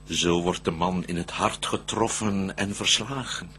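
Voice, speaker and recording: An actor playing a shrewd, wary devil in a Dutch verse radio drama, declaiming intensely in a raspy voice. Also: male voice, low-pitched, steady tone, unhurried, bright, forceful tone, a little hiss or hum. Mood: probing. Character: shrewd, wary male devil, conspiring accomplice in temptation.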